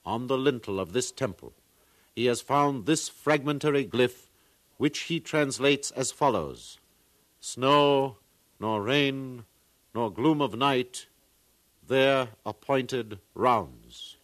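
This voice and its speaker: A man's voice: mid-range voice, takes it slowly, fairly bright tone, no echo. A man playing an earnest far-future museum scholar, lecturing formally in a 1950s radio drama.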